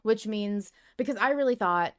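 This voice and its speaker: A woman co-hosting a fan discussion podcast, chatting casually in an emphatic, expressive, sweary way. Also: mid-range voice, normal speed, smooth. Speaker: podcast co-host.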